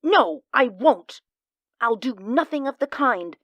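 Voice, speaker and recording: A woman's voice: high-pitched, expressive, slow, fairly bright tone, no echo, full character voice. A woman voicing Miss Landis, a curt, offended neighbor on the phone in a radio drama. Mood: indignant. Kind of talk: hobby radio drama acting.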